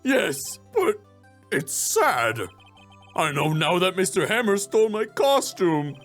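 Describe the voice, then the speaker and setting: Male performer as naive, tender-hearted game character: husky, gravelly, swooping pitch, plaintive and hesitant. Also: sing-song, slow, a bit bright, soft background music, exaggerated voice. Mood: tearful, plaintive. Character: sad, naive, soft-hearted male TV hero actor.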